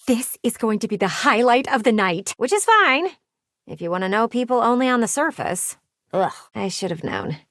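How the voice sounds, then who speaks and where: An actress voicing a pushy, blunt talking window in a video game, with bossy, dramatic emphasis. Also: female voice, on the high side, no room sound, clean studio sound.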